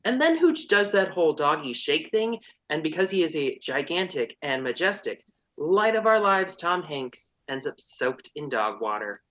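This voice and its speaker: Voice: mid-range voice, big pitch swings, normal speed, a bit bright, dull audio. A comedian narrating an abridged story with sassy, mock-serious commentary.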